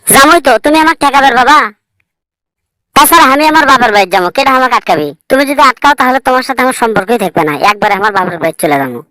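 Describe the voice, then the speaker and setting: A woman's voice: high-pitched, lively intonation, rapid-fire, pushed and bright, no echo, poor audio. A woman playing a nagging, defiant young village wife in a comic cartoon, speaking with a northern Bangladeshi accent. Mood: defiant, angry. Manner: insistent, complaining, scolding.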